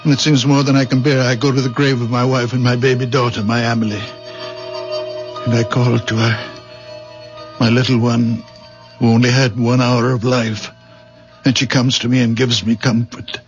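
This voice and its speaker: A man acting in a radio drama as a gentle, troubled old village healer, speaking haltingly, pleading and repeating himself. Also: medium pitch, little pitch movement, faint music behind, close to an everyday voice. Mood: sorrowful, fervent. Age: older. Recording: dull, muffled old radio recording.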